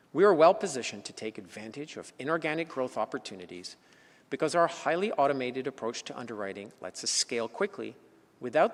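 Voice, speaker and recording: Man giving a scripted corporate presentation. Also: medium pitch. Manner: measured, enumerating points, rising emphasis on openers. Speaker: insurance executive presenting. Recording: long hall reverb.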